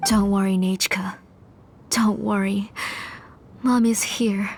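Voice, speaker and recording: An actress playing a grieving mother turned obsessed villain in a game cutscene, speaking softly and dropping into tender whispers. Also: medium pitch, steady tone, takes it slowly, a little hiss or hum. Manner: soft, haunted, tender turning to whispers. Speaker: antagonist.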